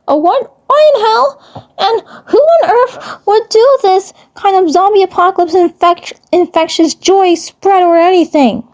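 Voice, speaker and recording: A woman acts out a young cartoon boy, pitched very high. He is alarmed and disbelieving, blurting strained, incredulous exclamations in a fan-made story. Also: a little slow, a little mellow. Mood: shocked disbelief. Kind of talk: fan fiction cartoon voice acting.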